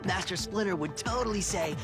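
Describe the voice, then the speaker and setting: A man's voice: sits high, brisk, loud music behind the voice. A man voicing a goofy, laid-back teenage mutant turtle in a video game, playful and teasing. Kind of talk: video game cartoon voice acting.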